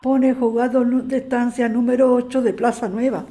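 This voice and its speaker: A woman's voice: fairly high pitch, little pitch movement, moderate pace, dark tone, lightly acted. A woman playing a worried, confused and wary mother in an audio drama. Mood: reading haltingly.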